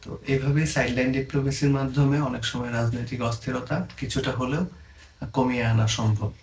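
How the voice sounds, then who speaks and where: A man's voice: mid-range voice, level tone, a little fast, a little mellow. A man with a Bangladeshi accent gives an informal explainer lesson, mixing English terms into his explanations. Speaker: presenter explaining diplomatic terms. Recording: echoey room, faint background noise.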